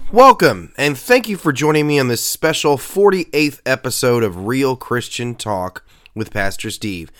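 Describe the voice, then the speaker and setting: A man's voice: mid-range voice, a bit bright. A man who pastors and hosts a faith podcast, talking in an earnest, emphatic way with a nasal voice and frequent filler sounds. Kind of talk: podcast sermon talk.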